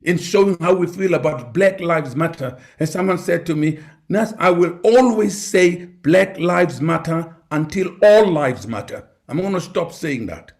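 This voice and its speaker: A man's voice: fairly high pitch, lively intonation, measured pace, hardly any pauses, a little mellow. A man speaking on a discussion panel in an emphatic, oratorical way, repeating phrases for effect. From Africa.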